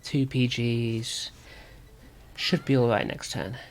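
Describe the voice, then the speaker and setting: Male gaming video host, medium pitch, sore and slightly rough voice, casual play-by-play, reasoning through moves aloud. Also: steady tone, slow, slight background noise. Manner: thinking aloud. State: sore throat. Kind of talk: casual card game commentary.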